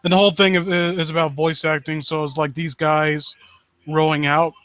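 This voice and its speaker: A man with a fairly high, bright voice and a dry, close sound hosts a live stream. He rambles casually, breaking off and restarting his sentences, with a joking, crude streak. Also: little pitch movement, smooth, no room sound. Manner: rambling, halting, self-interrupting. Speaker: livestream host.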